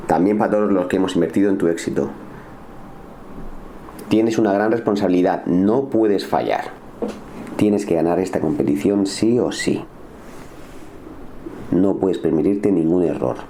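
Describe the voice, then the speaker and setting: Male actor as stern demanding investor, very low dark voice, acted voice message, background noise. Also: lightly acted. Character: demanding male sponsor piling on pressure. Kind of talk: acted voice message in an audio drama.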